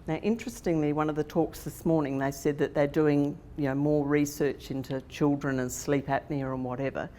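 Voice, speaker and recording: A woman's voice: low voice, steady tone, moderate pace, few pauses. Australian woman telling a story in an echoey hall. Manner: warm storytelling with fillers and restarts. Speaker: conference speaker. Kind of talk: personal story told to an audience. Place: conference hall. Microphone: handheld microphone. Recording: echoey hall with faint noise.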